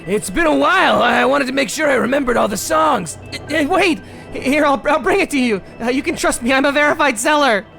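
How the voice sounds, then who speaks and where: A man voicing an undead male singer who has turned killer, lyrical and eerie, in a put-on gravelly voice over loud game music. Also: very high-pitched, brisk, hardly any pauses, pitched above the performer's own voice, full character voice. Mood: pleading. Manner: soft, creepy friendliness turning to shouted pursuit.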